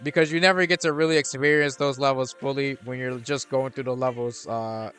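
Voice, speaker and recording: A man's voice: mid-range voice, fairly even intonation, fairly quick, a bit bright, soft background music. A man hosting a gaming channel, rambling through casual gameplay commentary with lots of restarts and fillers. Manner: rambling, many restarts and fillers, laid-back.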